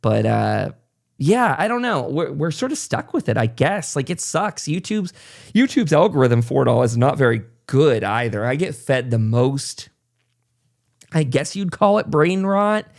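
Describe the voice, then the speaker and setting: Rambling male podcast host, slightly gravelly. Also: medium pitch, very animated, unhurried. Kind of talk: casual conversational podcast talk.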